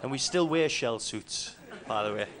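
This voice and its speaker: A man from northeast England with a Geordie accent, doing a deadpan, punchy stand-up routine with dark jokes. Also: mid-range voice, moderate pace. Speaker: stand-up comedian. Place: comedy club stage. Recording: live stage, crowd and noise.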